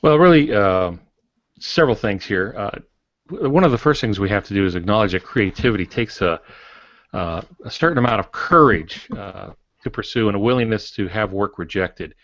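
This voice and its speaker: A man co-hosting a podcast, explaining and telling anecdotes in a halting, deliberate, reflective way. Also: fairly low pitch, a bit bright. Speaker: podcast co-host and writer. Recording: dull, echoey room recording.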